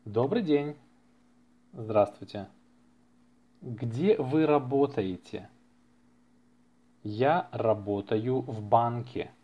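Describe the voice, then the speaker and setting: A man's voice: mid-range voice, extremely slow, pauses often. A man hosting a language-lesson podcast, reading practice dialogues with careful enunciation and repeating phrases for learners. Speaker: language teacher and podcast host. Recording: slightly muffled lo-fi podcast recording.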